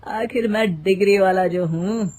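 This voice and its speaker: A woman's voice: medium pitch, a little slow, darkish tone, lightly acted, rough recording. A woman voicing a naive, gullible young husband who keeps a shop, played expressively in a moral story. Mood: boastful. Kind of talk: Hindi moral storybook character acting.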